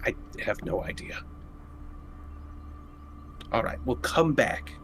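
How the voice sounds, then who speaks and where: A man's voice: mid-range voice, lively intonation, takes it slowly, a bit bright, a little hiss or hum. A man playing a tabletop roleplaying game, chatting casually in character.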